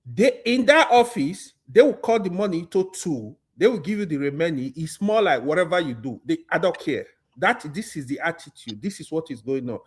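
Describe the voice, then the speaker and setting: A man speaks with an animated voice that jumps around in pitch and sits fairly high. He speaks emphatically in a heated discussion, adding weight to another speaker's point. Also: a little fast, few pauses, breathy edge. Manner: animated, emphatic, backs up others.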